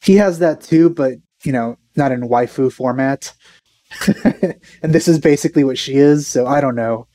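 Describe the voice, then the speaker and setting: A man's voice: medium pitch, moderate pace, mellow, dry recording. A young man giving casual reaction commentary in a laid-back, musing way. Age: young adult. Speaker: reaction video host.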